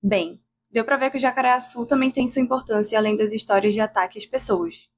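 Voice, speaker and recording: A woman co-hosting a podcast, smoothly reading scripted narration. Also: a little high, fairly quick. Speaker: podcast co-host.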